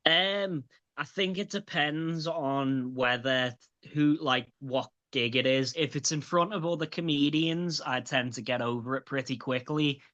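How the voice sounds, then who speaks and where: A man's voice: on the high side, a little slow, hardly any pauses, bright, forceful tone, dull audio. A man in his late twenties with a light Scouse accent, a stand-up comedian chatting as a guest, swearing and restarting often. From grew up in Liverpool. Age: late 20s. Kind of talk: casual interview chat.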